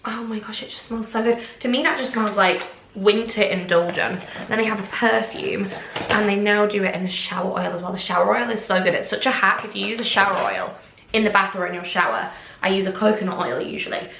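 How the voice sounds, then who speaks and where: A woman's voice: mid-range voice, steady tone, fairly quick, creaky, fairly bright tone, a little hiss or hum. Bubbly snuffly young woman vlogging at home. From England. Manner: bubbly, rambling, lots of "like" and "oh my gosh". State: snuffly, a bit congested. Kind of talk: casual chatty vlog to camera. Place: home kitchen, indoors. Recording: echoey room.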